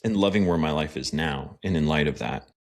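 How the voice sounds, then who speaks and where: A man's voice: rumbling low, brisk. A man talking as a podcast guest, a pastor and writer, speaking in an earnest, reflective way with frequent fillers. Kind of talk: casual interview chat.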